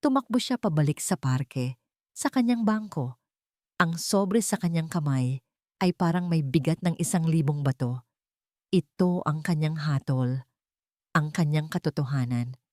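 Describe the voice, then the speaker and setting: A Filipino woman narrating a dramatic story as an audiobook, in a measured storytelling manner. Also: deep voice, animated, darkish tone, dry recording, clean studio sound.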